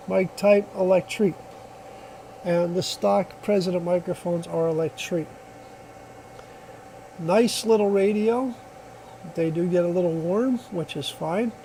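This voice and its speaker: Man, high-pitched voice, soft mellow tone, folksy product demonstrator, casual and reassuring, faint background hum. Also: poor audio. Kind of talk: casual hands-on product demo. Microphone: phone recording.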